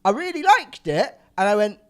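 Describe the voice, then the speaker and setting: Male panel comic, slightly high voice, very varied intonation, halting self-deprecating storytelling. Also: sing-song. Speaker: comedian guest on a panel show. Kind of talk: conversational comic anecdote to a live audience.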